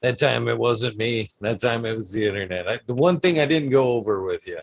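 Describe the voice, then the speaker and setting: A man's voice: medium pitch, a little fast, creaky, dry recording. A man hosting a casual interview over a video call, friendly and apologetic, calling his guest "my friend.". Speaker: host.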